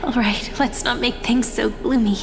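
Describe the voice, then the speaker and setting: An actress playing a playful, wistful young heroine in a game cutscene, talking in a teasing, gentle way. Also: female voice, a little high, fairly even intonation, moderate pace, creaky. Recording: long reverb with faint music.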